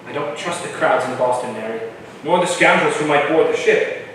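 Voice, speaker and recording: A man's voice: on the high side, normal speed, crowd noise, lightly acted. A man playing a plain-spoken, earnest colonial schoolteacher and family man in a staged historical play reading. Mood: earnest, wary. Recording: echoey hall, far from mic, faint noise.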